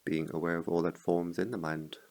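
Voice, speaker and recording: Very deep, gravelly man guiding meditation. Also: little pitch movement, darkish tone. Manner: slow, measured phrases with long pauses. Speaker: meditation teacher. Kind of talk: guided meditation.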